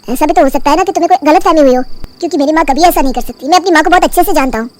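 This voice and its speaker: A woman's voice: high-pitched, very fast, close to an everyday voice. A woman voicing a firm young husband in a cartoon story, lecturing and scolding. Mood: reasoning.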